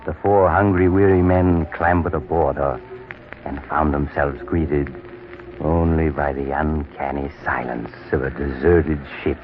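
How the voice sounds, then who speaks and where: A man's voice: very deep voice, steady tone, a little slow, a little hiss or hum. A man playing a sinister, courteous storyteller in 1940s radio horror, theatrical and ominous, on a muffled old recording. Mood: grave. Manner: theatrical, ominous, mock-polite. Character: sinister, courteous male storyteller host of eerie tales.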